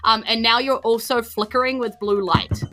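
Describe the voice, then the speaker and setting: Bright-voiced New woman running a game. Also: fairly high pitch, fairly even intonation, moderate pace, soft background music. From New Zealand. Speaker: game master. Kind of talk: narrating a tabletop game for players.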